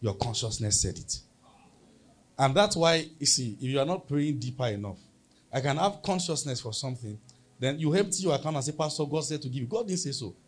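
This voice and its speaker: A man's voice: medium pitch, animated, fairly quick. A man with a Nigerian accent preaching a sermon as pastor, emphatic and leading the congregation in call-and-response.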